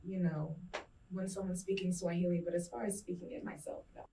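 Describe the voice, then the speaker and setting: A woman's voice: lowish voice, level tone, a little fast, vocal fry, dark tone, distant mic, rough recording. A woman from Kenya speaking as a guest on a panel show, brief and hesitant.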